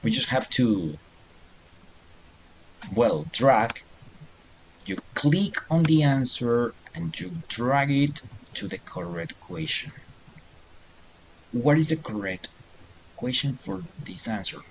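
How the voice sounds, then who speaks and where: A man's voice: medium pitch, big pitch swings, slow pace, creaky, pauses often, slight background noise, poor audio. A middle-aged man teaching a beginner English class online, patiently encouraging students and reading answers aloud.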